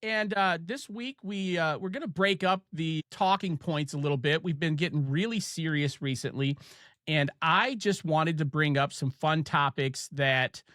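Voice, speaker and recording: A man's voice: fairly high pitch, a bit bright, no room sound, clean studio sound. A man with an Ohio accent hosting a podcast, chatting casually and telling stories with lots of fillers and restarts. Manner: chatty storyteller, halting with many fillers. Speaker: podcast host. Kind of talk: casual chat.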